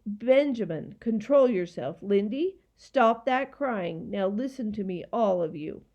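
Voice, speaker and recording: A woman's voice: medium pitch, expressive, highs cut off, close to an everyday voice. A woman voicing a stern male professor in a children's story, admonishing firmly and sharply. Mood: commanding. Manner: firm, sharp, measured.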